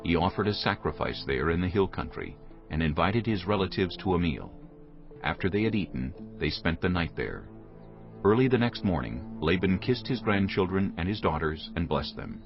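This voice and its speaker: Very deep-voiced male scripture narrator. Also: very animated, moderate pace, creaky. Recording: dull lo-fi audio with music behind.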